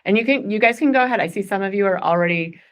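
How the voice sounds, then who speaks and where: A woman's voice: medium pitch, rapid-fire. A woman in her forties leading a goal-setting workshop, chatty and upbeat, laughing often. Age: 40s. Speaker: workshop facilitator.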